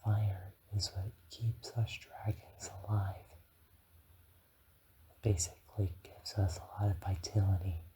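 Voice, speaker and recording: A man playing a gentle, encouraging dragon guide in a whispered ASMR guided meditation, speaking haltingly and soothingly. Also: deep voice, level tone, a little hiss or hum, low-quality recording.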